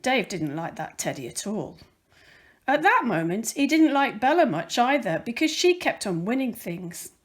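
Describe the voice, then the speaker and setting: High, animated woman reading a children's story. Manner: deliberate, careful storytelling with dramatic emphasis. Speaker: volunteer children's story reader. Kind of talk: expressive read-aloud of a children's picture book.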